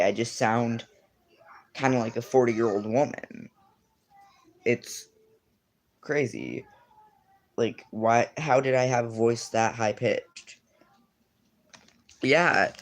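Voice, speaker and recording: A teenage boy chatting on a livestream, talking to viewers in a loose, rambling way. He keeps restarting his sentences and stuffing them with fillers. His voice sits at an ordinary pitch for a young man. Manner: rambling, filler-heavy, self-correcting. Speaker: streamer. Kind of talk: casual livestream chat reading comments.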